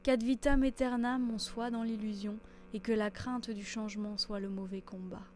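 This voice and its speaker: A woman reciting a poem in a measured, theatrical and solemn manner. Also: a little high, steady tone, darkish tone, slight background noise. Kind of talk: dramatic poetry recitation. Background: instrumental music.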